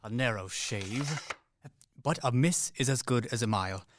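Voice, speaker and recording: A man's voice: mid-range voice, normal speed, very noisy, lightly acted. A man playing a wry, weary Swiss mercenary. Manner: dry, ironic and polite. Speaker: Captain Bluntschli. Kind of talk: college radio play acting.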